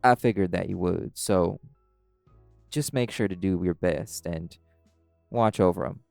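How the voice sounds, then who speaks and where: A man playing a calm, caring were-dog pack alpha in an audio roleplay, gently reassuring a friend. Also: deep voice, a little slow, vocal fry, faint music behind. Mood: steady, earnest. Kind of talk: audio roleplay acting, intimate comforting talk.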